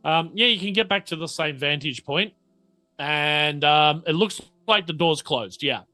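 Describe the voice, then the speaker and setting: A man's voice: on the high side, measured pace, sharp tone, faint music behind. A man speaking casually as game master, improvising narration in a hesitant, self-correcting way.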